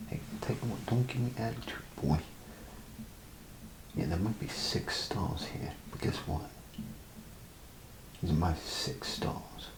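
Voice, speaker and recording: A man doing a soft-spoken, half-whispered ASMR role play as a chatty, grumbling bathroom attendant, rambling and hesitant in a folksy way. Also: low-pitched, slow, pauses often, background noise. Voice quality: gravelly, breathy half-whisper. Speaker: ASMR performer.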